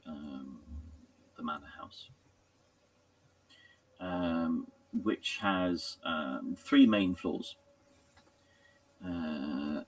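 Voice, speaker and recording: A man improvising narration as a tabletop game master in a halting, hesitant way, often pausing mid-sentence. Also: deep voice, no room sound, distant mic.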